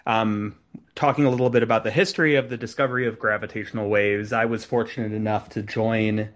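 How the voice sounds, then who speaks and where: A young man giving a relaxed, conversational science talk as a guest speaker, joining over a video call. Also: lowish voice. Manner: relaxed, conversational, many ums.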